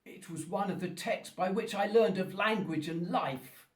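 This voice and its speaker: Male actor as wretched eloquent monster, very high voice, radio play, grandiloquent, roomy and distant. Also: lightly acted. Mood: solemn, demanding. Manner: slow, grandiloquent, pleading and menacing delivery. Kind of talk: theatrical radio play acting. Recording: roomy echo, distant from the mic.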